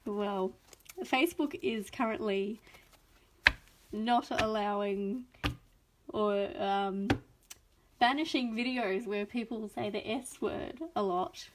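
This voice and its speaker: A woman's voice: medium pitch, steady tone, slow pace, pauses often, noisy background. A young adult Australian woman hosting a chatty live craft unboxing, gushing and enthusiastic, a little sniffly from a cold. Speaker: craft video host.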